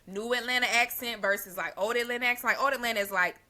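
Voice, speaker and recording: A woman's voice: on the high side, fast talker, bright, forceful tone. A woman from Texas with a Southern accent, chatting casually on a podcast and laughing often. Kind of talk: casual chat.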